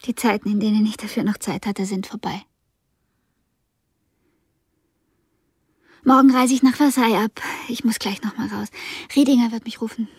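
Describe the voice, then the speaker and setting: Husky, creaky, cool young pianist. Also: female voice, on the high side, quick pace, dry recording, studio quality. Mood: guarded. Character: cool, wry young female concert pianist of the 1780s. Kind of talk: German radio drama acting.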